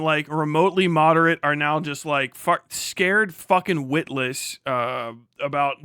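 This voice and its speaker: A man with a high, clear voice delivers loose livestream commentary. He talks like a showman one moment and rambles irreverently with plenty of swearing the next. The sound is dry and close, with a crisp, forceful edge. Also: dry recording. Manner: profane, slangy, irreverent. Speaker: live stream host.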